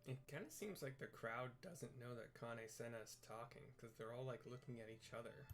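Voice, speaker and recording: A man casually describing game scenes as he plays, in a low-key, halting, improvised way. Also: faint music behind.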